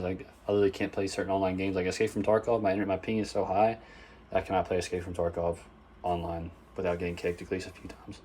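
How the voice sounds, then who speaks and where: A young man, hoarse, talking casually and swearing as he shows his gaming setup in a phone-recorded vlog. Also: low voice, a little mellow, slight background noise. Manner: casual, swears often, lots of fillers. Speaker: gaming vlogger. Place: bedroom at home. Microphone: phone camera.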